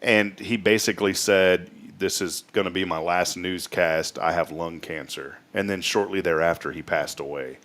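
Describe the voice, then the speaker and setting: Casting: American male talk host, late thirties, lowish voice, folksy and rambling. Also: steady tone, moderate pace, hardly any pauses, a bit bright. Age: late 30s. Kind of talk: casual chatty monologue.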